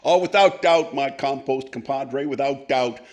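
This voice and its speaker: A man's voice: medium pitch, a little slow, close to an everyday voice. A man playing a pompous, boozy middle-aged scoundrel with florid, drawling speech in 1940s radio comedy style. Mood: fawning.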